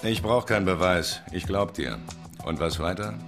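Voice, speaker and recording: An actor playing a curt, cool, unyielding boss of a gambling club in a crime radio drama. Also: male voice, deep voice, normal speed, music in the background. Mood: cool, dismissive. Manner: terse, unyielding. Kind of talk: hard-boiled radio crime drama acting.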